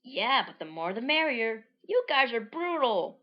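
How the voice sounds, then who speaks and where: An excitable cartoon boy hero with a high, pushed-up voice, as a woman reads him out. He talks in quick, emphatic bursts. The recording sounds slightly dull and lo-fi. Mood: pleading.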